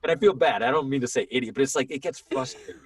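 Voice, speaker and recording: A man's voice: mid-range voice, distant mic. A man in his thirties with a Boston accent, a guest voice actor in a casual podcast chat who tells his stories with animation. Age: 30s. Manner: animated storytelling, quick voice switches.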